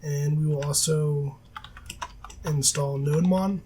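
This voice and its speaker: Man, raspy from a cold, flat monotone, casual coding tutorial, faint typing behind. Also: fairly high pitch, slow pace, slight background noise. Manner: relaxed, many filler ums and self-corrections. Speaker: programming tutor. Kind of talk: screen-recorded coding tutorial. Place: at a desk, voice-over of screen capture. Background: faint keyboard typing.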